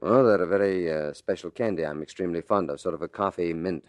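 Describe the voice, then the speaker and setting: An actor playing a hard-boiled, shrewd, musing police detective in an old-time radio mystery. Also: male voice, low-pitched, quick pace, dry recording, close to an everyday voice. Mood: smooth, probing.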